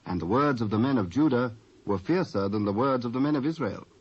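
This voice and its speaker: Husky British male narrator, muffled sound. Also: medium pitch, animated, a little fast. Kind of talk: scripted scripture narration. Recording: muffled old cassette.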